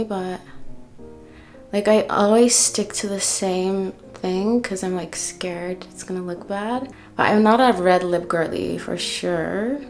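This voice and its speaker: Young Dutch beauty vlogger, American-sounding English, mid pitch with vocal fry, casual makeup chatter. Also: female voice, a little mellow. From Netherlands. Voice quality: slangy, says "like" often. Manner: chatty, talks while doing makeup. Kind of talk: casual get-ready-with-me chat.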